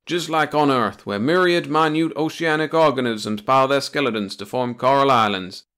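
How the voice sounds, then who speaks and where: A folksy old alien sage, played by a man in a comic storybook reading. He explains things in a homespun drawl, pitched a little above plain narration. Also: normal speed, fairly bright tone, clean tone, close to an everyday voice. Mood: folksy grumbling.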